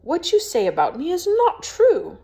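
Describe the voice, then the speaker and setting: A woman's voice: very high-pitched, huge pitch swings, moderate pace, mellow, voice pushed up, heavily acted. A woman voicing a proud, stiff young lady in a storybook, with a prim, formal, scolding manner. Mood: indignant.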